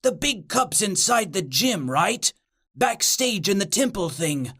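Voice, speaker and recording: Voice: mid-range voice, very animated, a little slow, fairly bright tone, heavily acted. A young male demon in a comic storybook, acted out by a narrator, cowardly but kind. He talks in a hesitant, slangy ramble, full of self-doubt. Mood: unsure.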